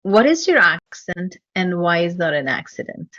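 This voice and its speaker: A woman hosting a podcast interview, speaking in an earnest, measured way with some hesitant pauses, in slightly dull lo-fi audio. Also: lowish voice, sing-song, fairly bright tone, dry recording. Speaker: podcast host. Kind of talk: interview, reading guest bio.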